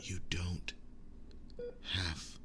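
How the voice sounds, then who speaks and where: Man playing a devoted wounded werewolf boyfriend, low voice, slow halting delivery, breathy whispers, earnest and tender, intimate ASMR roleplay. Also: flat delivery, heavy background noise. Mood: earnest, reassuring. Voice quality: breathy, often dropping into whispers. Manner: slow, halting, soft-spoken reassurance.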